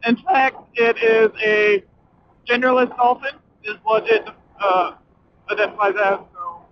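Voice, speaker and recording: A young man with a notably high voice rambles casually to viewers while riding his motorcycle. He is excitable and sweary, full of slang. The sound comes through a dull helmet intercom mic, with motorcycle noise behind him. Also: measured pace. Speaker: motorcycle vlogger. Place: outdoors, riding a motorcycle at night. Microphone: helmet communicator mic inside helmet.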